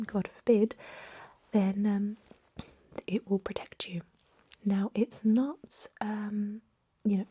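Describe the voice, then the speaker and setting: A woman performs an ASMR roleplay in a breathy, mellow whisper, very close and dry on the mic. She plays a gentle vampire emergency doctor, reassuring her patient in soft, hesitant bedside patter. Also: mid-range voice, dry recording. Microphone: close microphone.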